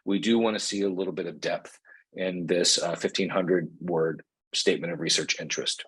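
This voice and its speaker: A man with a slightly low, husky voice hosts an online information session over a video call. He talks in an easygoing, conversational way, padding his sentences with fillers as he goes. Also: moderate pace, rough recording. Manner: relaxed, conversational, many fillers. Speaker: associate dean hosting an info session. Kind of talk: webinar presentation and hosting. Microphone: computer mic on a video call.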